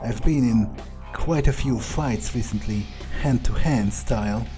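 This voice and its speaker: A man voicing an arrogant, scheming male vampire in video game roleplay, haughty and deliberate as he thinks aloud. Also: medium pitch, over music, lightly acted. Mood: musing, smug.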